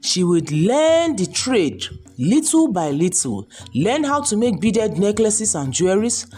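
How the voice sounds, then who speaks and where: A woman's voice: medium pitch, big pitch swings, slow, mellow. A woman storyteller reading a scripted folktale narration. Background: music behind the voice.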